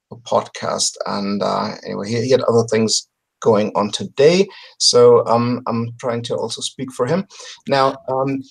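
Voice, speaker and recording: A man in his forties chatting casually as a guest on a video call, explaining things haltingly and thinking aloud. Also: mid-range voice, poor audio. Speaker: podcast guest. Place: home room with bookshelves. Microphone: computer mic on a video call.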